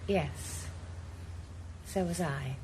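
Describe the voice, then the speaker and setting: An actress playing a guarded, sharp-tongued widow in a radio drama, cool and clipped. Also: female voice, low voice, extremely slow, a little hiss or hum, poor audio. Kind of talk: 1980s radio drama acting.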